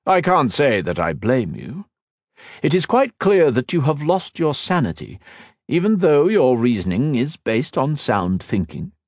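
A man voicing a cold, mocking villainous male sorcerer who taunts slowly and theatrically. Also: medium pitch, sing-song, a little mellow, dry recording, clean studio sound, pitched above the performer's own voice. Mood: taunting.

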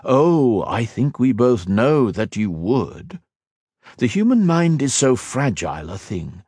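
A man voicing a cold, mocking villainous male sorcerer who taunts slowly and theatrically. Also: medium pitch, big pitch swings, a little mellow, slightly rough, no room sound, studio quality, voice pushed up, heavily acted. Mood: taunting.

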